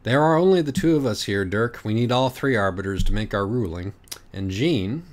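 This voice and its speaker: A man voicing a calm, sarcastic, smug male cat in an audiobook. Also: lowish voice, normal speed, natural voice.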